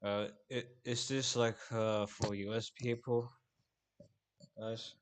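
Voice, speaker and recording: A man from Finland livestreaming casually, rambling with halting restarts. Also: lowish voice, flat delivery.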